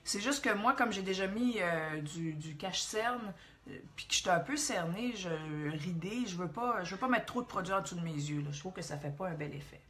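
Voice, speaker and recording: Bright-voiced Québécois woman in her forties. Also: lowish voice, expressive, a little fast, a little hiss or hum. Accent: Quebec French. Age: 40s. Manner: chatty, rambling, thinks aloud. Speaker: makeup tutorial host. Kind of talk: casual makeup tutorial.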